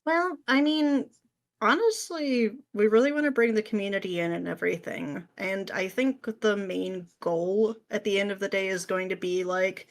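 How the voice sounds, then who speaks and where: Woman, podcast guest, medium pitch, informal, animated, conversational. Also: moderate pace. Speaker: podcast guest, script writer. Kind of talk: casual interview chat.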